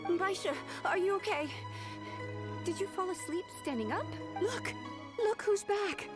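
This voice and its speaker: An actress voicing an eager, flustered young female office worker in a video game, earnest and excitable. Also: extremely high pitch, a little slow. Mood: startled, excited. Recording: music clearly behind the voice.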